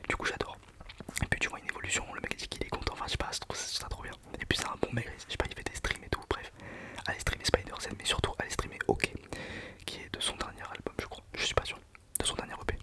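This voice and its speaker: Whispering young man ranking songs. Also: mid-range voice. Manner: whispering, casual and self-mocking, many fillers. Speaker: channel host. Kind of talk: whispered ASMR music countdown.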